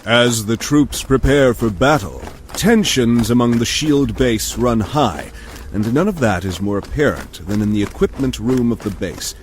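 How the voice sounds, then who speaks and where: A man's voice: medium pitch, measured pace, a little hiss or hum. A man narrating an audio drama in a dramatic, scripted storytelling style. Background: music.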